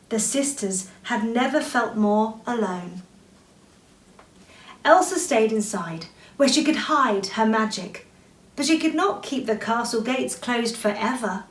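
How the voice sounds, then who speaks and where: Echoey room, woman, slightly high voice, children's storyteller, expressive reading, dramatic drawn-out emphasis. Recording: roomy echo, distant from the mic.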